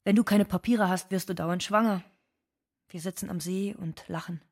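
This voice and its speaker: An actress playing an anxious, bitter undocumented migrant woman in a scripted dramatic monologue, with a husky voice. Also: mid-range voice, fairly even intonation, normal speed, darkish tone. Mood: bitter, resigned.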